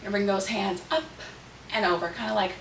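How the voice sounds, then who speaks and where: A slightly hoarse woman hosts a dance tutorial, giving step-by-step instructions and counts in short rhythmic cues. Her medium-pitched voice comes from a distance in an echoey room, with noticeable background noise. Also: fairly even intonation, fast. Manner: clipped rhythmic counting and cueing of moves.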